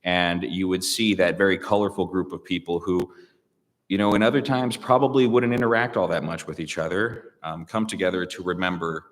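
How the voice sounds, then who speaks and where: A man with a very low voice speaks slowly and reflectively, pausing often with fillers and restarting his sentences. He is a guest in a public conversation, and his voice rings with the long reverb of a large hall. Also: fairly even intonation. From United States. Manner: thoughtful, hesitant, many fillers and restarts.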